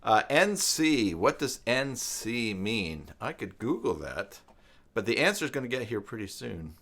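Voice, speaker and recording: A man with a Canadian accent hosting a casual live tutorial, thinking aloud in a halting, musing way. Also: mid-range voice, sing-song, fairly bright tone. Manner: halting, musing, reads aloud and mutters to himself. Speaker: live stream host, web tutorial presenter.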